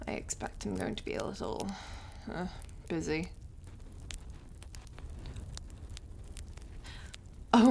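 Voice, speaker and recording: A woman's voice: on the low side, creaky, darkish tone, slight background noise. A woman voicing a suave, condescending devil in an ASMR roleplay, drawling and over-polite in a theatrical, taunting way. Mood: busy, haughty. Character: suave, mocking, condescending devil who deals in soul contracts.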